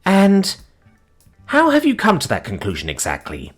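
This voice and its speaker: A man's voice: high voice, huge pitch swings, moderate pace, slightly rough, faint music behind, voice pushed up, full character voice. A man voicing a frazzled woman starship captain. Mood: bewildered. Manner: exasperated, frazzled. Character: flustered female starship captain, protective mother.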